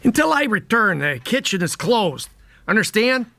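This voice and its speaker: A man's voice: high-pitched, sing-song, moderate pace, creaky, pushed and bright, no room sound, full character voice. A man playing a bossy, menacing underworld boss in a comedy table read. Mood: commanding.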